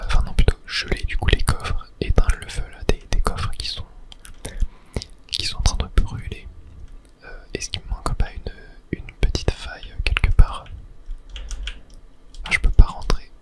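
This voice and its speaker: A gamer speaks entirely in a soft, breathy whisper. The delivery is a hushed ASMR let's play, murmuring short phrases as running commentary on the game. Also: very high voice. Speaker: gaming ASMR streamer.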